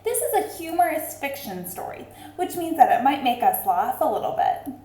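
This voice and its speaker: A woman reading aloud expressively to children like a teacher, deliberate and addressing them directly. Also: high-pitched, sing-song, warm tone. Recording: roomy, echoing sound.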